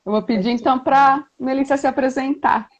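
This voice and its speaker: A woman's voice: high-pitched, fairly even intonation, fairly quick. A Brazilian woman hosting a live video-call interview as a doula, chatty with frequent tag questions. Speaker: doula and host.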